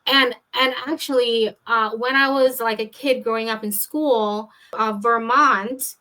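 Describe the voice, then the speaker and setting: A woman's voice: fairly high pitch, fairly even intonation, unhurried, bright, forceful tone. Chatty woman host from Trinidad and Tobago. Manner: chatty, self-deprecating, with many fillers. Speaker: podcast host. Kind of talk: casual interview chat.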